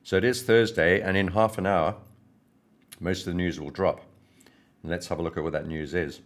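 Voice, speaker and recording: A hoarse man hosting a trading livestream, greeting viewers by name in a friendly, rambling way before going through market news. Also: low voice, steady tone, normal speed. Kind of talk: casual live-stream chat and market commentary.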